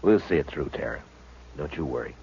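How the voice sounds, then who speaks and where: An actor playing a terse, world-weary frontier marshal in a 1950s radio western. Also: male voice, extremely low pitch, animated, a little fast, a little hiss or hum. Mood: calm, reassuring. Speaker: lead actor and first-person narrator. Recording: dull vintage 1950s radio recording.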